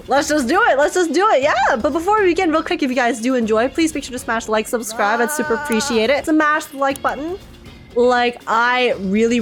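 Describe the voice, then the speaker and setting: High-pitched gushing woman gamer over music. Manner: gushing, informal, repeats phrases for emphasis. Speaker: streamer reacting to a video game. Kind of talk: casual excited gaming commentary.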